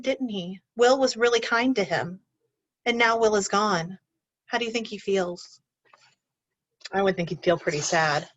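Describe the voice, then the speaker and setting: Animated woman reading to children. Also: mid-range voice. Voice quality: animated storyteller, asks children questions. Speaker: teacher. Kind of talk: reading a picture book aloud to children. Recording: dull lo-fi recording.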